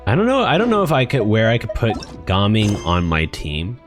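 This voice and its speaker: Playful male streamer with animated intonation. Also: on the low side, moderate pace. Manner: playful, joking. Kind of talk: casual game reaction commentary. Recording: game music behind the voice. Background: video game music.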